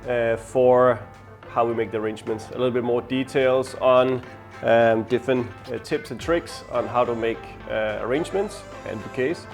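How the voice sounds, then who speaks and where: A man in his forties from Denmark, a florist hosting a workshop, explaining steps in a friendly, halting way with many ums. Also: mid-range voice, soft background music. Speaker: florist host. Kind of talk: casual workshop chat. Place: bright indoor studio with flowers.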